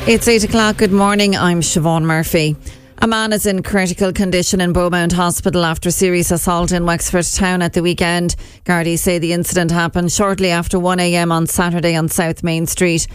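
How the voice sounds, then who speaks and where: A woman with an Irish accent reading a radio news bulletin in a measured, even way at a studio microphone. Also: lowish voice, clean tone, no room sound, soft background music. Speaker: newsreader.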